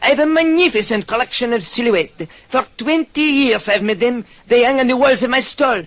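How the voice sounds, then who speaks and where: An actor playing a vain, florid little artist with a French accent in an old radio drama. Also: male voice, very high-pitched, expressive, moderate pace, a bit bright, exaggerated voice. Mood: boastful. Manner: florid, formal, self-important. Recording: noisy vintage radio recording.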